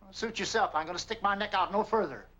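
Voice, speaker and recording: High-voiced, crotchety rural American innkeeper. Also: male voice, fast, pushed and bright. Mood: grumpy. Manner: grumbling, curt, folksy. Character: crotchety, stingy small-town male innkeeper, suspicious of strangers. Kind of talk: 1940s film acting. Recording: muffled, noisy old film soundtrack.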